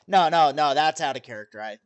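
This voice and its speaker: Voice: mid-range voice, expressive, brisk, no echo, dull audio. A game master with an eastern South Dakota accent, running a tabletop game and often restarting sentences.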